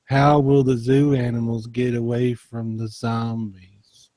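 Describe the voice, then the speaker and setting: A man's voice: medium pitch, little pitch movement, slow, dark tone, no room sound, lightly acted. A man voicing a worried, pleading zoo animal in a spoof storybook. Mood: dismayed.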